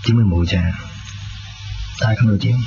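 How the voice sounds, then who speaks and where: An actor with a Hong Kong Cantonese accent playing a gentle, devoted young man in love in a 1990s radio drama. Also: lowish voice, normal speed, vocal fry. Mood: soft, earnest. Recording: dull lo-fi radio recording, loud music.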